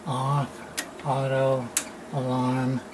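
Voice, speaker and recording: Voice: medium pitch, completely flat delivery, very slow. A person giving casual, hands-on unboxing commentary as a repair video host, muttering to themselves in short, fragmented remarks. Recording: noisy room with clear background noise.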